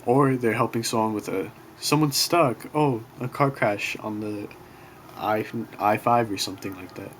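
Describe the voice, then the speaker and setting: A young man giving a casual class presentation from his bedroom, talking quietly and apologizing as he rambles a bit. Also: lowish voice, moderate pace. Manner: quiet, self-conscious, apologetic, loose and rambling. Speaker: student presenting a class project. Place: bedroom in an apartment next to a street. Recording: faint street noise.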